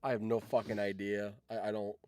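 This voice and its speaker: A man's voice: on the low side, level tone, a little fast, a little mellow, no room sound. A man hosting a livestream in a casual chat, rambling along and swearing freely. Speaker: livestream host.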